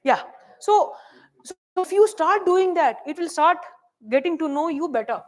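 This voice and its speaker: High-pitched soft-spoken Indian English woman. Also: expressive, normal speed. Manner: chatty, checks in often with "right?" and "okay?". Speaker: AI workshop presenter. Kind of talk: hands-on tech workshop teaching.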